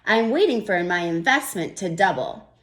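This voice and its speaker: A woman's voice: on the low side, normal speed. Woman reading a picture book aloud. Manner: gentle, expressive storybook reading with thinking-aloud asides. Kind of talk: children's read-aloud with comments.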